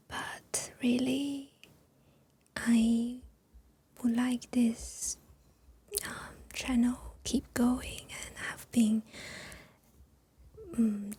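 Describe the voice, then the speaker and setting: A woman whispering gently in an ASMR chat, pausing often with soft fillers, a Chinese speaker talking in English. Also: a little high, flat delivery, a little hiss or hum. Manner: soft unvoiced whisper with many pauses and fillers. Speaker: ASMR creator.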